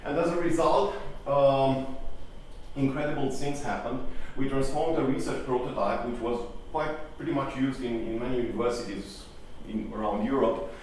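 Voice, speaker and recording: A man's voice: mid-range voice, moderate pace, a little mellow, distant mic, slight background noise. Echoey hall talk, man. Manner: hesitant, many ums and restarts. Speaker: conference speaker. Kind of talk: live conference talk. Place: conference hall.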